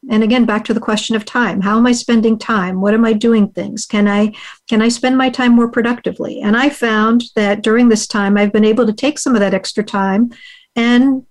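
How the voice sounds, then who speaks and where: Earnest woman guest on a video call. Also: on the high side. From United States. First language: Hungarian. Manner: earnest, measured, uses frequent fillers. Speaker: podcast guest, investor and author. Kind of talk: reflective interview answers.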